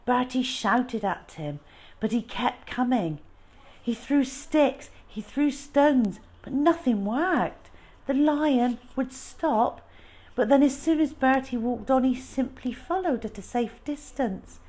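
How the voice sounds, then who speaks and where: A woman reading a children's story aloud, moving between informal chat and expressive narration as a storytime host. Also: high voice, slow.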